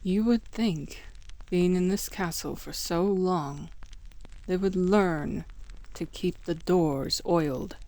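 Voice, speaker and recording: A woman playing a calm, caring, quietly wry witch in an ASMR roleplay, speaking softly and in a measured, soothing way. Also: on the low side, poor audio. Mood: mildly annoyed. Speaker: voice actress. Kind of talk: ASMR roleplay acting addressed to the listener.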